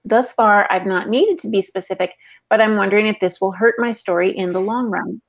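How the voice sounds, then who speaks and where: Chatty woman with a mid-pitched voice. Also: fairly quick, vocal fry. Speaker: podcast co-host relaying listener questions. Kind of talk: casual chat and reading out questions. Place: small home room, indoors. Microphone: video call on earphones.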